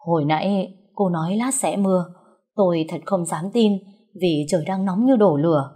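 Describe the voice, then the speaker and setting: Male household master and official voiced by a woman: effusive, deferential, exclaiming, somewhat husky, storybook delivery. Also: fairly low pitch, normal speed, dark tone. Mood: awed.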